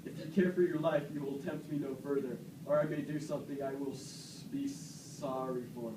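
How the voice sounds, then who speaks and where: A man's voice: mid-range voice, fairly even intonation, normal speed, natural voice. A man playing Cassius, a proud, aggrieved Roman soldier, in an amateur puppet-show parody of Shakespeare, with declamatory delivery. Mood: defiant. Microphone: distant camera microphone. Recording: echoey noisy room, far from mic.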